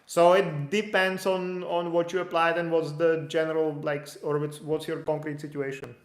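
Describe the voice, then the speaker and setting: A young man talks through visa questions in a loose, live-stream way, restarting sentences and filling gaps with "like." His voice sits fairly high but stays flat and even in pitch. The sound is roomy and echoey. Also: normal speed. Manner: conversational, thinks aloud with frequent restarts and "like". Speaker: immigration consultant answering viewer questions. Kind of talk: live-stream Q&A, casual explanatory. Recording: echoey room.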